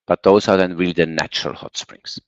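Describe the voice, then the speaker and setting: A middle-aged or older man with an Icelandic accent presents travel information informally. His voice sits fairly low. The sound is a bit dull, as heard through an online webinar. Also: fairly even intonation, fairly quick. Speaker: guest presenter, travel marketer. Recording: muffled, roomy online webinar audio.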